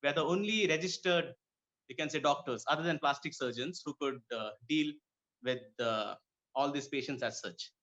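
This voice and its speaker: The recording is slightly dull and low in fidelity. A man from Kuwait speaks in a somewhat high voice. He answers conversationally, as a medical guest in an interview. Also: normal speed, a bit bright. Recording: dull lo-fi audio.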